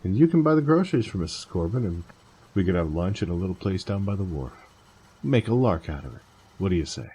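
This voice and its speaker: A man's voice: sits low, very animated, quick pace, warm tone, a little hiss or hum. A man playing an easygoing, kindly middle-aged husband and uncle in an old-time radio drama. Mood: breezy. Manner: casual, genial.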